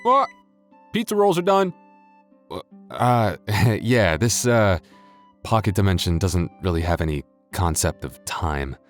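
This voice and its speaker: A man playing a goofy, laid-back otherworldly computer being who hosts an audio channel, chatting casually in a sheepish, halting, jokey way. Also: low voice, very animated, soft background music. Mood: goofy, distracted. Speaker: audio creator addressing listeners. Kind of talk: casual in-character outro chat.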